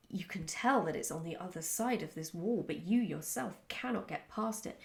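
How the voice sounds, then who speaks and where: A woman chatting casually in a question-and-answer vlog, speaking in a candid, reflective and somewhat halting way. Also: medium pitch, gravelly, darkish tone. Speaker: writer answering follower questions.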